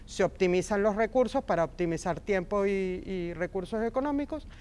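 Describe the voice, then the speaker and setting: A woman's voice: mid-range voice, normal speed. A middle-aged woman from Argentina who was raised in Venezuela, a film script supervisor speaking passionately in an interview. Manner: warm, passionate, emphatic repetitions. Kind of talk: interview conversation.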